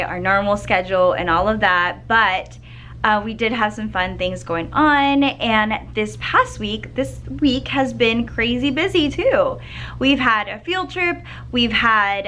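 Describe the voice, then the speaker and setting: A woman's voice: fairly high pitch, sharp tone. Chatty woman with a slightly scratchy voice. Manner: chatty and upbeat, with fillers and throat clearing. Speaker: second grade teacher. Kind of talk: casual vlog chat to camera. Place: school classroom.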